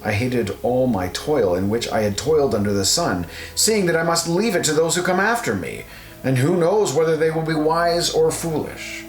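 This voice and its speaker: A man narrating an audio Bible in a measured, solemn delivery with deliberate pauses. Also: fairly high pitch, lively intonation. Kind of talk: scripted scripture reading, audio Bible. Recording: roomy reverberant voice over music. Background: guitar music.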